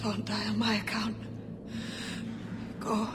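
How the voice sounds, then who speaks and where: A woman's voice: sits high, expressive, takes it slowly, noisy background. A woman voicing a distressed but tough-hearted pirate in a video game, in an emotional, strained manner. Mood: weak, resolute. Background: loud music.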